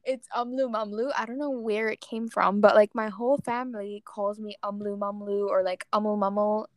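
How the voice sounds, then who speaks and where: A young woman co-hosting a podcast, chatting casually and telling quick stories with asides. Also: medium pitch, fairly even intonation, no echo, studio quality. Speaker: podcast co-host. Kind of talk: casual chat.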